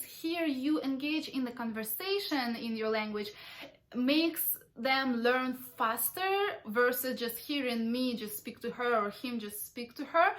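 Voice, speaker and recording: Chatty high-voiced woman. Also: fairly bright tone. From Ukraine. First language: Russian. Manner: chatty and explanatory, self-described talkative. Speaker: parenting vlogger. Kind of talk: casual talk to camera.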